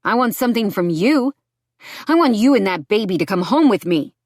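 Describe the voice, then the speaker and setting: A woman acting a menacing, sneering man, raised in pitch. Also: expressive, normal speed, darkish tone, no room sound, studio quality, close to an everyday voice. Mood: menacing, demanding. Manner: taunting, threatening. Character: menacing, sneering, angry ex-boyfriend, lanky thug with knife.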